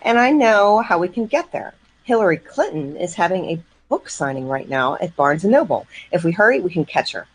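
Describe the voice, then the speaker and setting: A woman playing a lively, skeptical older sister. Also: on the low side, a little fast, few pauses, slightly rough, pitched below the performer's own voice, low-quality recording. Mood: won over. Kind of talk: live comedy sketch read as radio play.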